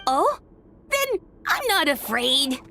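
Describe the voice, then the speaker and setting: A woman's voice: high voice, big pitch swings, takes it slowly, pushed and bright, slight background noise, full character voice. A woman voicing an innocent, earnest young male dragon in a video game, with a light childlike voice. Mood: tentative, relieved. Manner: earnest, naive.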